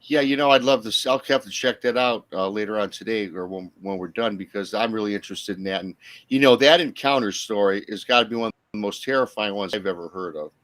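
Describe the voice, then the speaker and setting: An American man chatting casually as a podcast guest, halting and circling back as he talks. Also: lowish voice, fairly bright tone. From Michigan, United States. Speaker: guest, Bigfoot researcher and podcaster. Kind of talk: casual conversational podcast talk. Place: remote livestream call.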